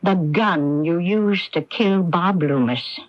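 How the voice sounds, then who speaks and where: An actress playing a frail, anxious but quietly relentless middle-aged sister in a radio mystery, speaking deliberately on a radio broadcast recording. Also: female voice, fairly low pitch, lo-fi sound. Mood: quietly accusing. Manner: halting, repeats words when anxious, deliberate. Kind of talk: old-time radio mystery drama acting.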